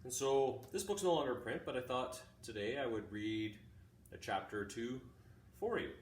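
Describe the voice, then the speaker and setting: A middle-aged man, a teacher reading aloud in a deliberate way, pausing often between short phrases. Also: medium pitch, expressive. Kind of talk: informal introduction and measured read-aloud of a classic book. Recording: roomy, distant mic, faint background noise.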